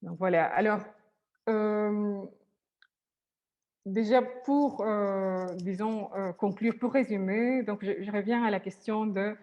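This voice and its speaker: A woman's voice: medium pitch, slow, muffled recording. A woman therapist whose first language is Spanish, speaking hesitantly with many restarts and fillers.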